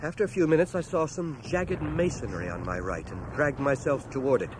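A male actor plays a war veteran narrating his memories for a radio drama. His mid-pitched voice is measured and articulate, with a grave literary manner. The cassette recording is noisy, with battle effects behind him. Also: lightly acted. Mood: grave, tense. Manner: literary, sober storytelling.

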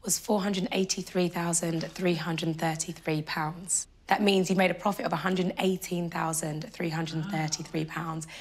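A woman presenter talks through figures with homeowners, lively and enthusiastic. Her voice sits a little low. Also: normal speed, hardly any pauses, a little hiss or hum. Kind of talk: casual chat on reveal.

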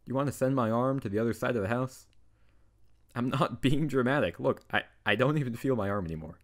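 A man's voice: medium pitch, brisk, close to an everyday voice. A man playing a tsundere wizard, a teasing and tender best friend turned lover, in an intimate ASMR roleplay. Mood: playfully whiny.

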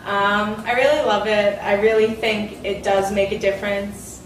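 A woman's voice: mid-range voice, steady tone, moderate pace, a little hiss or hum. A woman in her twenties, a college student volunteer, speaking earnestly with some fillers in a classroom. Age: 20s. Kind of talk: interview answers. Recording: echoey classroom, distant mic.

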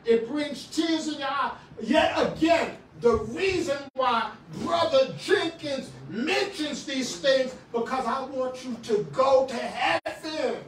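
A middle-aged man preaching a sermon in a small church, swinging from shouts to quiet talk with many restarts. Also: extremely high pitch, takes it slowly, hardly any pauses, slight background noise. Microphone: far camera. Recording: echoey room, far from the camera.

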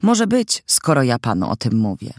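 A man voicing a chatty, impish sixteen-year-old girl in an audiobook, with lively, theatrical emphasis. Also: medium pitch, moderate pace, no echo, clean studio sound. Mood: indignant. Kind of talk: audiobook character dialogue.

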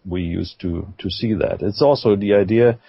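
A man from Switzerland speaks English with a Swiss German accent in a low-set voice. He talks haltingly and laughs often. The sound is dull and dry, like an online call. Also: vocal fry, slightly rough, no echo. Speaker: radio guest. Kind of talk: casual roundtable chat.

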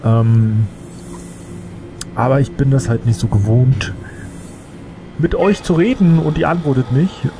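A man's voice: mid-range voice, a little slow, long pauses, noisy background. A man who has a cold, chatting casually and thinking aloud as he plays a game. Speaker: let's play gamer. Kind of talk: casual gameplay commentary.

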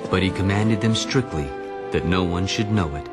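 A man narrating a dramatized audio Bible in a solemn, deliberate storytelling manner. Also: low-pitched, over loud music.

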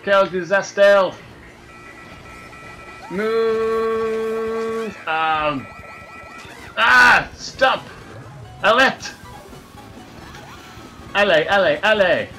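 A man doing comic gameplay commentary in a fake French accent, excitable and hammy, laughing at his own jokes. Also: high voice, drawn-out words, long pauses, over music, rough recording. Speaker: let's play gamer.

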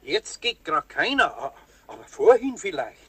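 A man's voice: on the high side, sing-song, normal speed, fairly bright tone, husky, no echo, heavily acted. An actor playing a flustered older Bavarian master craftsman in a German radio play, blustering and folksy. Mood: flustered protesting.

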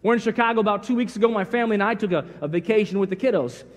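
Man, middle-aged pastor, high voice, folksy storyteller, church hall reverb. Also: very fast. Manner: folksy, animated storytelling, acts out dialogue. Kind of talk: sermon. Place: large church sanctuary.

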